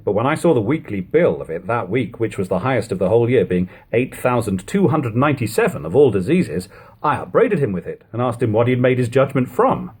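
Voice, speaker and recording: A man with a husky voice reading a literary text aloud as an audiobook narrator, in a lo-fi home recording. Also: medium pitch, sing-song, brisk, a little mellow. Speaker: audiobook reader.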